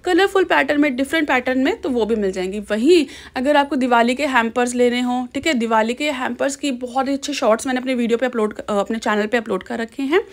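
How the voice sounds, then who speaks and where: A woman's voice: sits high, quick pace, hardly any pauses. A young woman filming a chatty shopping vlog as she walks through a busy outdoor market, talking to the viewer through a handheld camera or phone. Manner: chatty, keeps asking "ठीक है". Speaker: shopping vlogger.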